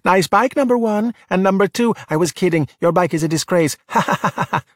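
A man's voice: a little high, moderate pace, no echo, studio quality, pitched above the performer's own voice, close to an everyday voice. A man voicing a bossy, exasperated, spirited young Italian girl who drops Italian words into emphatic talk in a children's audiobook. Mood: mocking. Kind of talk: audiobook character voice in a children's story.

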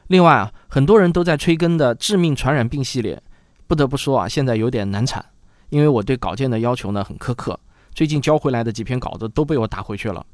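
A man's voice: mid-range voice, fast, a little mellow, dry recording, studio quality. A man hosting a programme, talking to listeners in a conversational, explanatory way. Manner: conversational, explanatory, rhetorical questions. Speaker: host and producer. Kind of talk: chatty programme outro addressed to listeners.

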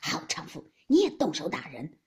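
A woman's voice: on the high side, big pitch swings, fairly quick, slightly rough, in a whisper, exaggerated voice. A woman voicing a hot-tempered, sulky young husband in a storybook reading, grumbling and blustering. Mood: blustering rage. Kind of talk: dramatised audiobook of classic novel.

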